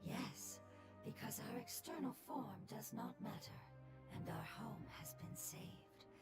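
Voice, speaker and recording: A woman voicing a gentle, serene, consoling spirit in a video game, soft, whispery and solemn. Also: on the high side, lively intonation, slow pace. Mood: tender, consoling. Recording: roomy echo, loud game music behind.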